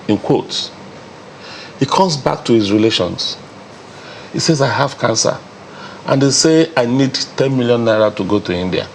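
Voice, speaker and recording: A man's voice: fairly low pitch, a little hiss or hum, poor audio. A man speaking as a guest on a TV panel, emphatic and repeating phrases for effect. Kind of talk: studio discussion.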